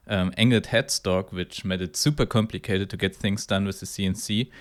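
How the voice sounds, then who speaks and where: Male hobbyist vlogger, lowish pitch, clean resonant tone, casual and slightly halting delivery. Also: steady tone. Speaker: hobby guitar builder presenting own project. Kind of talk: casual talk to camera.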